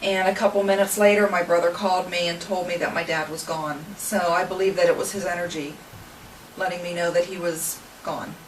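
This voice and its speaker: A woman in her forties with an American accent, giving a casual, somewhat halting testimonial to camera. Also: on the low side, fairly even intonation. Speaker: paranormal group member. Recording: echoey room, distant mic, clear noise.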